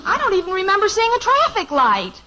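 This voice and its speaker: A woman's voice: extremely high pitch, wildly swooping pitch, fairly quick, very noisy, heavily acted. An actress playing a flustered, lovestruck teenage daughter in a 1950s radio sitcom, girlish and fretful. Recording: dull old radio broadcast recording.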